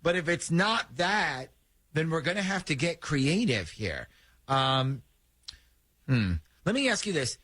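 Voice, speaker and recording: A man's voice: a little high, sing-song, a little slow, a bit bright. A man hosting a morning radio show, chatting with a caller and reading listener texts with lots of fillers. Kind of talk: morning radio prank call.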